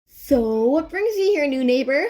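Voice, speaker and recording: A woman's voice: high voice, expressive, unhurried, darkish tone, voice pushed up. A woman voicing an eager, flustered young female music student who tries to sound cool. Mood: smitten. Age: young adult. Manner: perky, trying to sound cool.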